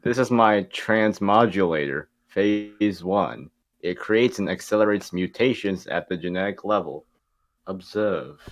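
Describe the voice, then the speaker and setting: A man's voice: deep voice, a little slow. A man voicing a smug, gloating mad scientist villain in an amateur cartoon table read.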